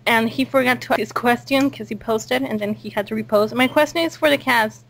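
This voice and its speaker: A woman's voice: on the high side, a little fast, gravelly, a bit bright. A woman hosting a casual interview and putting questions to the guests. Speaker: host, interviewer.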